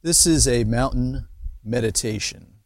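A man leading a guided meditation as a teacher, speaking in short, measured phrases with pauses between them. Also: medium pitch, no room sound. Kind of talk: slow guided imagery meditation narration.

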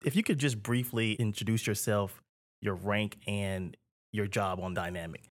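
A man's voice: lowish voice, steady tone, moderate pace. A man interviewing a sailor, asking questions in an upbeat, encouraging way.

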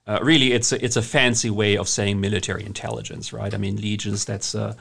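A man's voice: lowish voice, little pitch movement, brisk, creaky, fairly bright tone. A man with a German accent, a guest historian in an interview, speaking conversationally with many hesitations and restarts.

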